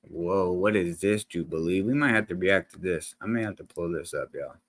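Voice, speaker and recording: A man's voice: deep voice, moderate pace, no room sound. A man hosting a casual reaction video. He talks to the viewers in slangy, rambling speech with many restarts and fillers, into a desk microphone that sometimes peaks. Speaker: reaction channel host. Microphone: desk microphone, sometimes peaking.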